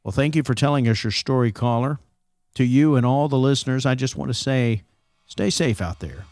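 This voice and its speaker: A man's voice: mid-range voice, moderate pace, darkish tone, no echo, studio quality, close to an everyday voice. A man playing a composed, probing late-night paranormal radio host in an audio drama. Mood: composed, solemn.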